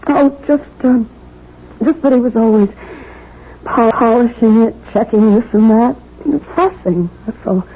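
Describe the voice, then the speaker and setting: Woman acting distressed young woman, American accent, high pitch, earnest, emotional, old radio drama, dull lo-fi sound. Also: a little slow, slight background noise, natural voice. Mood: haunted, weary. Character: fragile, distressed young woman convinced she imagined her marriage. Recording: muffled vintage radio broadcast.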